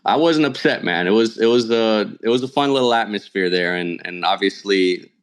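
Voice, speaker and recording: A man's voice: fairly low pitch, animated, normal speed, clean tone. Casual male sportscaster talking shop. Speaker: radio play-by-play sports announcer, podcast guest. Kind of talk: casual sports talk interview.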